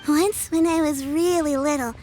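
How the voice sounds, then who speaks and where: Woman playing an earnest, very high-voiced young child. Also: steady tone, normal speed, dark tone, close to an everyday voice. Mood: hesitant, grateful. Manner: hesitant, earnest, polite. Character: sweet, resilient, polite young child, devoted to granny. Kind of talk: video game voice acting. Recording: music behind the voice.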